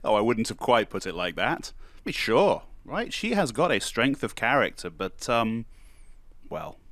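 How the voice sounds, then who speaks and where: Affable, flustered producer, very animated pitch. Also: male voice, no echo. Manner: hedging, halting, eager to explain. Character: affable, easily flustered male producer at a casting. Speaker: actor in an audio drama. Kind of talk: naturalistic audio drama dialogue.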